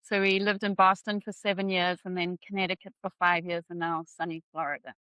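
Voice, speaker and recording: A woman's voice: on the low side, fairly even intonation, fairly quick, bright, forceful tone, clear, resonant tone, no echo, low-quality recording. A woman from Zimbabwe, a finance guest on a podcast, talking earnestly and chattily over a video call. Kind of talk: interview conversation.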